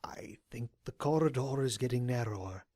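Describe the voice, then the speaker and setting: A man voicing a cautious, nervous male pony who keeps outwardly calm, speaking in a hushed, wary way in an audio drama. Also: mid-range voice, normal speed, no room sound, natural voice. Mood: tense, hushed. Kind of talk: audio drama character acting.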